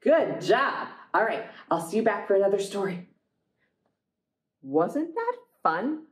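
Expressive woman hosting children's storytime. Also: mid-range voice, unhurried. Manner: expressive, asks listeners questions. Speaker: library storytime host. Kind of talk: children's storytime, reading aloud and chatting to young viewers.

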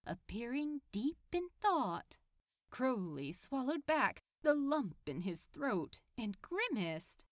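A woman's voice: fairly high pitch, no room sound, studio quality. A woman narrating a scripted piece of fiction as a storyteller. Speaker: podfic narrator.

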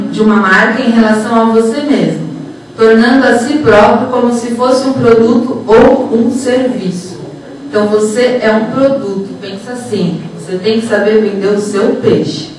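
A woman's voice: on the high side, moderate pace. A woman gives an informal lecture, conversational and checking in with short tag questions. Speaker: psychologist and HR professional giving a lecture. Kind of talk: informal motivational lecture to students. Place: auditorium, speaking to an audience. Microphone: handheld microphone through room speakers, distant recording. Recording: echoey hall from far off, noisy.

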